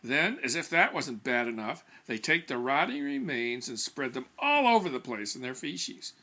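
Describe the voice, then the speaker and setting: A man's voice: mid-range voice, sing-song, moderate pace, vocal fry, pushed and bright, heavily acted. A man reading a comic story and voicing a pompous, blustering public relations expert in a grandiose, over-emphatic way.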